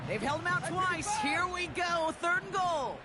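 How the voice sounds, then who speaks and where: A woman's voice: extremely high pitch, expressive, measured pace, music in the background. A woman playing an American play-by-play football commentator, calling the action in short, punchy phrases. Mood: focused, brisk. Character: brisk, excitable female football play-by-play announcer. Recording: loud stadium crowd noise throughout. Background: roaring stadium crowd.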